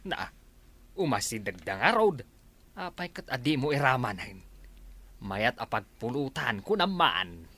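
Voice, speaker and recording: Male actor as eager gullible village sidekick, Ilocano accent, sharp forceful voice, lively swoops in pitch, comedy radio play. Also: measured pace, long pauses, dry recording, full character voice. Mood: argumentative. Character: eager village man, gullible partner in petty animal theft.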